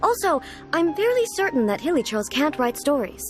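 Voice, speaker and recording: A woman's voice: high voice, very animated, normal speed, a little mellow. A woman playing a dignified, reclusive ruler in a video game, speaking formally and pensively with earnest, naive puzzlement. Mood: skeptical, puzzled. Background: game music.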